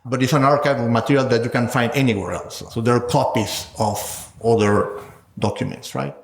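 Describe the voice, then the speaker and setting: A man's voice: mid-range voice, fairly even intonation, moderate pace. A man, a guest scholar, talking through ideas in an academic interview, thinking aloud and often ending points with a questioning "no?". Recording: roomy echo.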